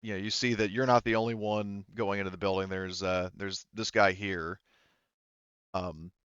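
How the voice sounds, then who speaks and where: A man narrating a tabletop game as game master in a casual, improvised way, rambling and halting. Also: deep voice, flat delivery, fairly bright tone, clean tone, no room sound, dull audio.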